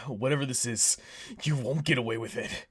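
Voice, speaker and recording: A man's voice: mid-range voice, moderate pace, a bit bright, husky. Man speelt hese, eigenwijze held. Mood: defiant. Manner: sardonic, urgent. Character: cocky, protective, hot-headed male hero. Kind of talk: audio roleplay acting.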